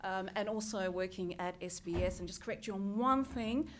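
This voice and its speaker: A woman's voice: mid-range voice, normal speed, slight background noise. Woman speaking at a conference lectern. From Greece. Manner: earnest, storytelling, with wry asides and gestures. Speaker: journalist and lecturer giving a conference talk. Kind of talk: personal conference speech. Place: large conference hall, at a lectern. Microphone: headset mic.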